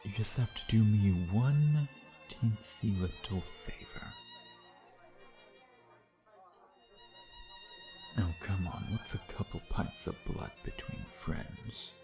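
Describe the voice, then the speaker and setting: A man's voice: fairly low pitch, huge pitch swings, measured pace, music in the background, full character voice. A man playing a suave, predatory vampire in an intimate ASMR roleplay, taunting in a sardonic, flirtatious way with murmured asides. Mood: sly, bargaining. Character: suave, teasing, predatory male vampire, playfully menacing.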